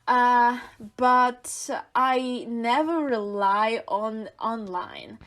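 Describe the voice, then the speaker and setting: A woman with a Russian accent, a native Russian speaker, co-hosting a podcast in casual chat, thoughtful and pausing with fillers as she searches for words. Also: on the high side, fairly even intonation, a bit bright. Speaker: podcast co-host.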